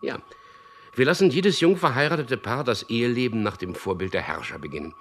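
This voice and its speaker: An actor in a German radio drama playing a smooth-talking propagandist who tells and acts out his own story in an earnest way. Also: mid-range voice, expressive, fairly quick, a bit bright, a little hiss or hum. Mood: eager, persuasive.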